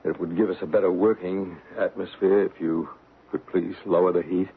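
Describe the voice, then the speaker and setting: A man playing a calm, authoritative ghost investigator in a radio drama, measured and formal in his explanations. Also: low voice, a little hiss or hum. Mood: composed. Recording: tinny old radio broadcast.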